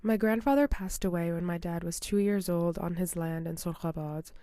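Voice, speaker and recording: A young adult woman, host of a documentary podcast, reading scripted narration in a measured, formal way. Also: on the low side, mellow, dry recording, clean studio sound.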